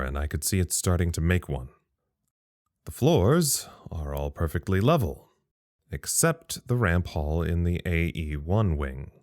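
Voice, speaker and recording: An actor playing an earnest, chatty facility radio operator, giving a rambling, matter-of-fact log in an audio drama. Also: male voice, low voice, a little mellow, dry recording, clean studio sound.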